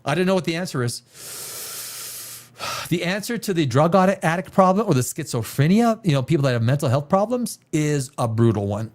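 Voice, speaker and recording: Man, blunt profane opinion rant, lively swinging pitch, slightly high voice. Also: moderate pace. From Canada. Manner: blunt and profane, with emphatic repetition. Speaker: livestream host. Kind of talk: opinionated livestream chat.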